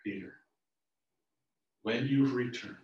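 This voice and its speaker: A man voicing a calm, gentle teacher who speaks softly and steadily. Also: mid-range voice, slow, echoey room, far from the mic, lo-fi sound, pitched above the performer's own voice, natural voice.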